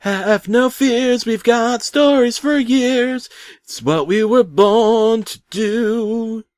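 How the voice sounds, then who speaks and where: Man putting on a high-pitched showboating vaudeville skeleton. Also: dry recording. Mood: showy, exuberant. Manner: drawn-out, showy delivery. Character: dancing top-hatted skeleton showman belting a vaudeville number. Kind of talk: comic musical performance.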